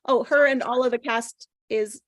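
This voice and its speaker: High-voiced woman in a dry, close recording. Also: steady tone, moderate pace, fairly bright tone, dry recording. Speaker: table read participant reading action descriptions. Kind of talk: casual chat and scripted narration.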